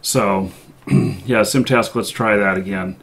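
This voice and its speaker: A man hosting a live gaming show in casual chat, halting a little as he thinks aloud. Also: fairly low pitch, steady tone, a bit bright, husky.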